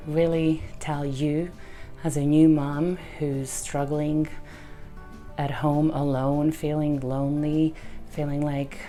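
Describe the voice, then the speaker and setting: Low, mellow Hungarian-accented woman. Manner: earnest, measured, with repeated phrases. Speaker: therapist sharing her story. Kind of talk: personal testimony.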